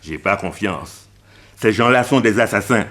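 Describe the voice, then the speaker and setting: An actor playing a brave, loyal detective's sidekick, blunt and protective, in a radio drama. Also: male voice, lowish voice, a little slow, a bit bright. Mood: worried loyalty.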